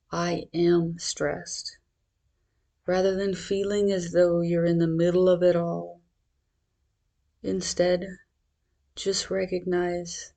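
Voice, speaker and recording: A meditation guide speaking in a measured, reassuring, instructional manner. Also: mid-range voice, gravelly, dark tone.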